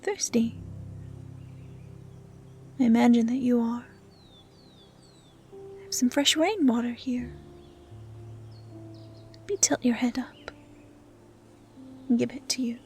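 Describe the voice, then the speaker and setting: A woman voicing a gentle, curious young dryad who tends the forest, speaking in a soft, breathy near-whisper in an intimate ASMR roleplay style. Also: on the high side, slow pace, long pauses, soft background music. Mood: tender, caring. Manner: hushed, breathy, caring delivery, often near whispering.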